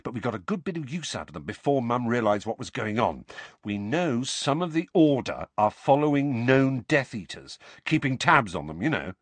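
A male narrator plays a teenage boy wizard from a storybook. He is a loyal, slightly awkward mate, explaining things eagerly and casually with a lively, bouncing pitch in a dry, close recording. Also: moderate pace, dry recording.

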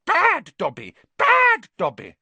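Tiny male elf creature, super high squeaky voice, earnest n grovelling, gets upset easy, storybook style. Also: lively intonation, moderate pace, a bit bright, no echo. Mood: self-punishing. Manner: deferential, speaks of self in third person. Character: small earnest, servile, easily distraught male house elf.

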